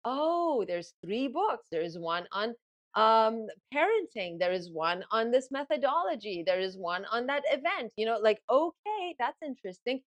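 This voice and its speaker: A woman whose first language is Farsi, a guest in an interview, explaining her method in lively bursts and acting out inner thoughts. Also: on the high side. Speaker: guest author and ghostwriting coach. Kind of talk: casual interview talk.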